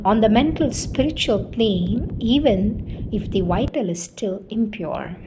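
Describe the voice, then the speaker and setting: A woman reading spiritual writings aloud in a solemn, deliberate, devotional manner. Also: a little high, darkish tone.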